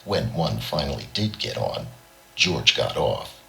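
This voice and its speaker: A man's voice: extremely low pitch, expressive, unhurried, vocal fry, pushed and bright, rough recording. A man reading a scripted short story as an audiobook narrator. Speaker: audiobook reader.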